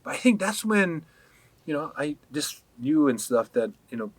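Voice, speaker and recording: A man from Hawaii, a podcast guest, chatting casually and telling animated stories, laughing often. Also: on the high side, normal speed, no room sound. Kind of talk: casual interview chat.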